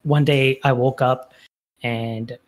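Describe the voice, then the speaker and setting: A man in his late twenties leads a casual podcast conversation at a middle pitch. He talks in a relaxed, measured way. He keeps his questions short. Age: 20s. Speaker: podcast host.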